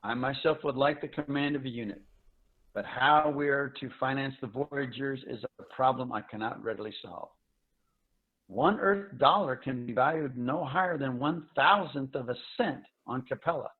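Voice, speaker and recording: A man's voice: medium pitch, normal speed, natural voice. Phone-thin recording; male actor as an earnest, formal space commander in a pulp sci-fi radio-style reading. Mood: worried, hesitant. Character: earnest male space officer pledging to a faint-hope mission. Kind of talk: dramatic reading of pulp science fiction. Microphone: video call. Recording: thin phone-like video call audio.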